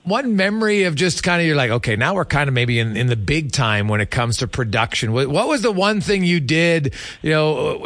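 A man's voice: medium pitch, a bit bright, no echo. A man hosting a sports show, asking questions in a casual, conversational interview style. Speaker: sports radio host.

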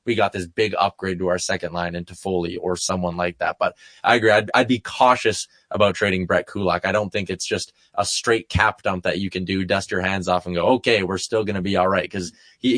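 A man's voice: low voice, fast talker, few pauses, a bit bright, no room sound. A man chatting casually about sports as a regular on a talk show.

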